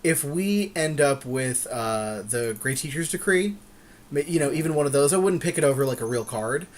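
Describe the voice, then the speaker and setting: A man's voice: fairly high pitch, lively intonation, moderate pace. A man co-hosting a podcast, casually commentating on a card game draft in an agreeable way, often repeating himself for emphasis. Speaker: podcast co-host.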